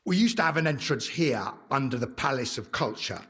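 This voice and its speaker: Game role: no-nonsense male commander, husky mid-pitched voice, matter-of-fact authority. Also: lively intonation, a little fast, a bit bright. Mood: explaining. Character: businesslike male leader of armed stalker men. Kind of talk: video game dramatic acting.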